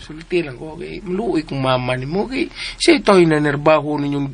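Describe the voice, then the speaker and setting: An actor with a southern Philippine accent playing a chatty, meddling household helper who stammers and repeats himself in a radio drama. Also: male voice, fairly high pitch, expressive, a little fast, creaky, background noise. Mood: scolding.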